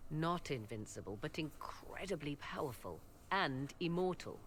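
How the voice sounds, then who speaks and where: Proud, mystical game sorceress: low register, grave, measured, solemn delivery. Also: female voice, expressive, background noise. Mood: grave, resolute. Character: grave, proud sorceress who hears ancient voices. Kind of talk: fantasy game dialogue.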